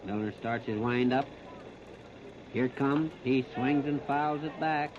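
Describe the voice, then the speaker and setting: A man's voice: mid-range voice, unhurried, a crowd in the background. An American man calling baseball play-by-play in a 1930s radio style, in short clipped phrases. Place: outdoor ballpark broadcast. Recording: noisy, muffled 1939 radio disc recording.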